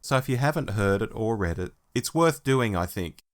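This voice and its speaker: A man's voice: on the low side, expressive, moderate pace. A man hosting a podcast, talking casually as he gives his review in a discussion. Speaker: podcast host.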